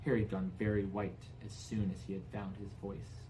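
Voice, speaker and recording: A man's voice: lowish voice, flat delivery, normal speed, dark tone, rough recording. A man giving scripted narration of a storybook as an audiobook reader.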